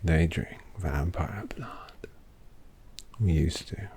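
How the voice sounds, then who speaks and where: A man voicing a sardonic old male vampire painter who drawls intimately in a gravelly whisper, as an ASMR roleplay. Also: low-pitched, takes it slowly, crowd noise, full character voice. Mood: snide whisper.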